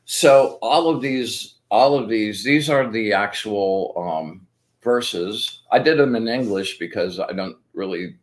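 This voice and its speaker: A man's voice: on the low side, takes it slowly. A man hosting a casual spiritual talk, rambling and enthusiastic, full of fillers and restarts, walking his viewers through his methods step by step. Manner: explains step by step. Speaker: host.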